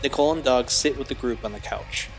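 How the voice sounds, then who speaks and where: A man's voice: medium pitch, fast talker, soft background music, lightly acted, low-quality recording. A man narrating an audio drama, reading scene directions as scripted narration. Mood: neutral.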